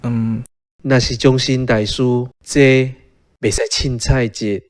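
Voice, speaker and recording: A man's voice: medium pitch, steady tone, takes it slowly, no room sound, clean studio sound, lightly acted. An actor playing an awkward, calculating doctor courting a woman in a radio drama, with a hesitant, hedging way of talking. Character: awkward, calculating male doctor, a picky suitor. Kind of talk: Taiwanese radio drama acting.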